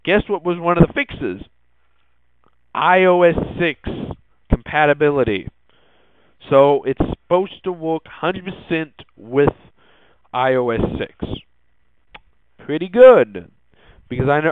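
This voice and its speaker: A man hosting a podcast in a casual, hesitant way, often halting and repeating his words. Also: a little high, clean tone. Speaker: podcast host. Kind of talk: casual solo tech podcast talk. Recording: muffled, phone-like narrow-band audio.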